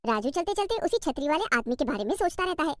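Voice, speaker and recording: Female cartoon story narrator, high-pitched with lively inflection, very dry recording. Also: fast talker, no echo.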